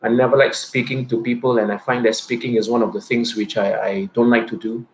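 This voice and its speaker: Guy in his 30s with a stutter, halting, repeats words, quiet introvert talking to camera. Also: mid-range voice, flat delivery, slight background noise, low-quality recording. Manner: soft-spoken and introverted. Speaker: teacher. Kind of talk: personal reflective talk.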